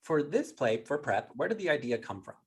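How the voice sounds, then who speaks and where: Lively American man hosting an interview. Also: on the high side, brisk, breathy edge. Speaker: interview host, workshop facilitator. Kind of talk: upbeat podcast interview.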